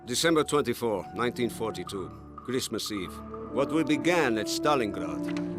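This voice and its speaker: A male actor as a Soviet tank commander, battle-hardened and wry. His pitch is mid-level and swings widely, in dramatic war-game style over music. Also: close to an everyday voice. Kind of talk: scripted war-game narration and battle shouts.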